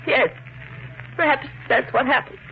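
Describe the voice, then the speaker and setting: An actress playing the anxious, doubting wife of a suspected killer in a 1950s radio thriller, earnest and melodramatic. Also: female voice, high-pitched, unhurried. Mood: shaken, doubtful. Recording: muffled, phone-like 1950s radio, faint noise.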